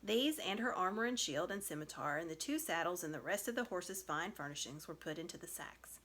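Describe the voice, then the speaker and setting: Female storybook narrator, lively intonation, bright clear-cut tone, expressive scripted reading. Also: lowish voice, brisk. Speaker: storybook reader.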